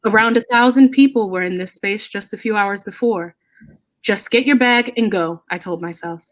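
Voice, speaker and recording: A woman reads a scripted ghost story with dramatic delivery. Her medium-pitched voice is captured dry and close, with no real echo. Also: moderate pace.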